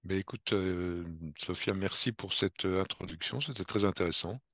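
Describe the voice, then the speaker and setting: A man speaks in a very low voice, recorded close and dry. He tells his own story in a relaxed, explanatory way, as a podcast guest. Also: normal speed, dry recording. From Belgium. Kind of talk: conversational interview answer.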